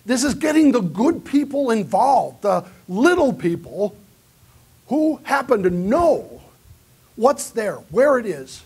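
Husky man giving an emphatic keynote. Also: very high voice, very animated, takes it slowly, darkish tone. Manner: earnest, emphatic lecturing. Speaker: keynote speaker. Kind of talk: conference keynote with audience questions. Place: large conference hall with round tables. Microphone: far camera in the audience.

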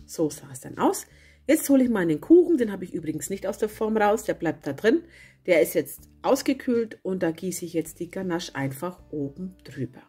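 A woman with a cold and a hoarse voice, chatting casually as she narrates a home baking video and correcting herself as she goes. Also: lowish voice, sing-song, normal speed. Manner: chatty, self-correcting, homely. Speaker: cooking channel host. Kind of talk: casual recipe voice-over. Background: soft guitar music.

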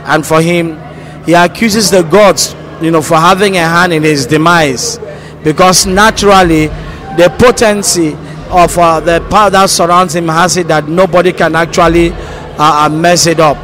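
A middle-aged man with a Nigerian accent explains a story in an interview, speaking deliberately and haltingly into a handheld microphone. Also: on the high side, fairly even intonation, fairly bright tone. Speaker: stage actor being interviewed. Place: large bare indoor hall. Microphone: handheld microphone held at the mouth. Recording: handheld mic with clear background noise.

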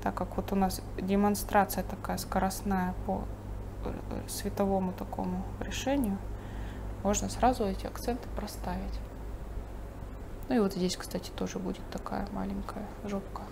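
Woman art teacher, calm running commentary while painting; recording has a clear hiss. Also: medium pitch, moderate pace. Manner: thinking aloud while painting, calm and explanatory. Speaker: painting instructor. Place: studio table, overhead camera on the painting.

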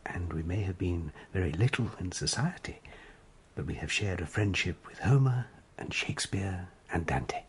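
An actor playing a gentle, loyal, bookish friend at a sickbed, speaking softly and soothingly in a radio drama. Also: male voice, low voice, lively intonation, husky, heavily acted. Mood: consoling, earnest. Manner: soft, soothing, measured bedside delivery.

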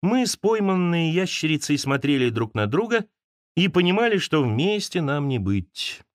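Expressive male narrator with lively, swooping intonation. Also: on the high side, moderate pace, no echo, studio quality. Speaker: audiobook narrator. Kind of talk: expressive literary audiobook narration of a first-person essay.

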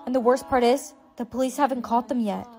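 An actress plays a high-voiced, caring woman in a short melodrama skit. Her delivery is expressive and dramatic, with faint music behind it. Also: brisk, natural voice. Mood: concerned. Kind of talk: acted short melodrama skits.

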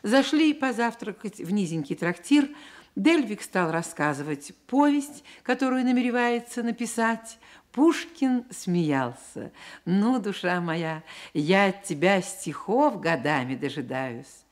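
A woman narrating a literary Soviet radio play in a measured, expressive, reflective way. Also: medium pitch. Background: music.

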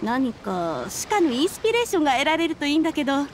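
High, lilting travelling bard. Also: female voice, very animated, quick pace, slight background noise. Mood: hopeful. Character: cheerful travelling female bard. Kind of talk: Japanese anime game voice acting.

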